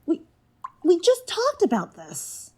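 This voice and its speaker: A woman's voice: very high-pitched, wildly swooping pitch, takes it slowly, dark tone, exaggerated voice. A woman playing a manipulative alien creature in a human body, coaxing and controlling, in an audio drama. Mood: impatient coaxing. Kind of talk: fiction podcast audio drama acting.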